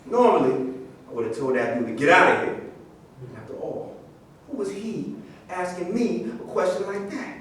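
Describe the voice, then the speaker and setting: A man's voice: sits high, expressive, normal speed, a bit bright. A man in a staged reading, playing a proud, indignant former football star recounting his grievances. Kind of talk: staged reading of a play, dramatic monologue. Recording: echoey room from far off, faint noise.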